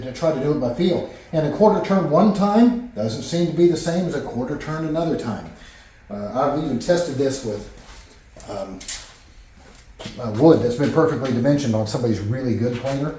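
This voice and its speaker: A man's voice: mid-range voice, dark tone, recorded from a distance, a little hiss or hum. A man narrating his woodworking project in a casual, rambling way, with frequent fillers and restarts, recorded in his home workshop. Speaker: woodworking vlogger. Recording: echoey workshop, voice off-mic.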